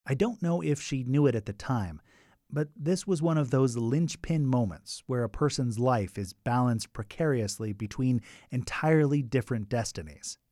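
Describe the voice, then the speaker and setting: A man's voice: medium pitch, expressive, normal speed, mellow, dry recording, studio quality. A man narrating a radio documentary in a scripted but conversational way, with wry asides.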